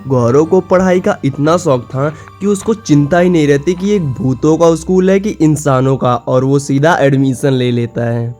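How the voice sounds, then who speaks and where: A man's voice: a little high, a little slow, few pauses, darkish tone. A man narrating a scripted cartoon story as its storyteller. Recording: music behind the voice.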